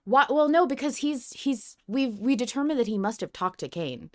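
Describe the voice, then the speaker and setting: A woman hosting a casual fan podcast, talking in an excitable, emphatic, sweary way. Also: a little high, normal speed. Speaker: podcast host.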